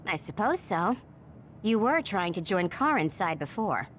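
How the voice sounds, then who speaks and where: Composed, matter-of-fact squadmate. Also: female voice, mid-range voice, big pitch swings, unhurried, a bit bright, slight background noise. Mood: businesslike. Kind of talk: video game cutscene voice acting.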